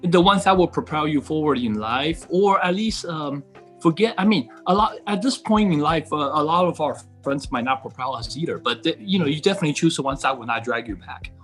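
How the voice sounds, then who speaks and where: A middle-aged man from Taiwan speaking as a podcast guest in casual conversation, earnest and halting, rephrasing as he goes. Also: on the high side, lively intonation, fairly bright tone, faint music behind.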